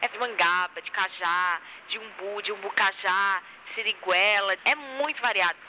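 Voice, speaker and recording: Animated young woman in a street interview. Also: medium pitch, moderate pace, slight background noise, low-quality recording. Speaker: interviewed student. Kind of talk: casual interview answers.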